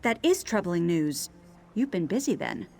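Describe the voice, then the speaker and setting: Wry, expressive rebel ally. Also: female voice, lowish voice, normal speed, soft background music. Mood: wry, concerned. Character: wry, concerned female resistance ally. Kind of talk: video game character acting.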